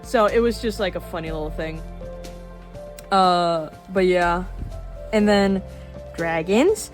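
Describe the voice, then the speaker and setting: A woman of twenty-one chatting casually in a rambling way while showing her sketchbook, with fillers and quick laughs. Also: on the low side. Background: soft background music.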